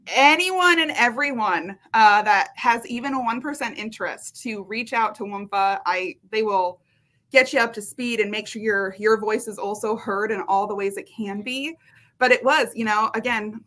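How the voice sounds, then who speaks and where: A woman from Washington state, a guest in an interview, talking in an energetic, chatty way and laughing often. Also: mid-range voice, normal speed. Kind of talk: casual interview chat.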